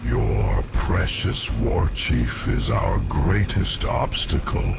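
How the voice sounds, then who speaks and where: A man voicing a gloating, contemptuous demon from a fantasy game, slow, menacing and gravelly, speaking in grand scornful declarations. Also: rumbling low, very animated, full character voice. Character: gloating demon prisoner, contemptuous servant of evil. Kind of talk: fantasy game cutscene villain acting. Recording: heavy noise behind the voice.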